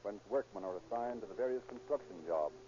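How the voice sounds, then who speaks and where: Actor as a rapid 1930s radio narrator. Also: male voice, on the low side, warm tone, slight background noise, lightly acted. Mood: urgent. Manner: formal, declamatory. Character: urgent male narrator of a police serial. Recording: muffled 1930s radio recording. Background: dramatic music.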